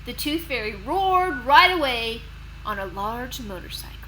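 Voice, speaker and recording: A woman narrating for children as an expressive read-aloud storyteller and host. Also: fairly high pitch, unhurried, a little hiss or hum, rough recording. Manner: expressive, clearly addressed to children.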